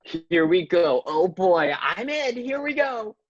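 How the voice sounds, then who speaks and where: A man playing an eager, cocky talking sperm with a smooth voice in a comedy radio play. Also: high-pitched, very animated, slow pace, dull audio, heavily acted. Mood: excited. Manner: casual, urging his companions on.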